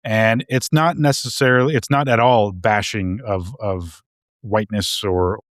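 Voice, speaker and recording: A man with a fairly low voice talks through ideas on a podcast, circling back and repeating himself as he thinks. His voice sounds dry and close to the mic. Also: steady tone, a little slow, dry recording. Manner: hesitant, restarts and repeats words often. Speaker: podcast co-host. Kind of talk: casual discussion.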